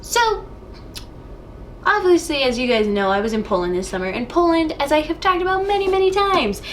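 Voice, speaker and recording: A woman's voice: high-pitched, big pitch swings, normal speed, a bit bright, a little hiss or hum. A young woman hosting a casual vlog show, talking to camera with bubbly enthusiasm. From United States. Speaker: host.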